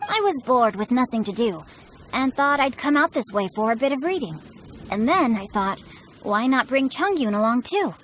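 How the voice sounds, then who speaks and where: A woman voicing a courteous, bookish young gentleman scholar in a video game, with flowery, self-assured speech. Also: fairly high pitch, lively intonation, normal speed, slight background noise, low-quality recording.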